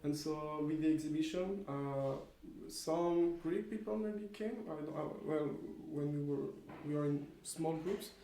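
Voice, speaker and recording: Young man, student volunteer, slightly high voice, soft timbre, casual, rambling, tentative. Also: unhurried, hardly any pauses, a little hiss or hum. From France. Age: young adult. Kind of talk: casual interview answers. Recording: roomy echo.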